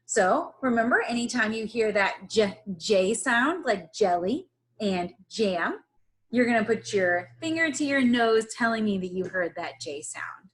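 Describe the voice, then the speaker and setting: A woman teacher giving a read-aloud lesson to young children, pausing to ask them questions in an instructional way. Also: on the high side, expressive.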